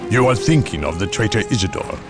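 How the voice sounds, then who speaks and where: A man's voice: on the low side, lively intonation, brisk, over music, close to an everyday voice. A man playing a zealous, commanding inquisitor in a video game cutscene, speaking formally and with authority. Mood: grave reassurance. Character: solemn commanding male inquisitor, zealous, world-weary judge of men.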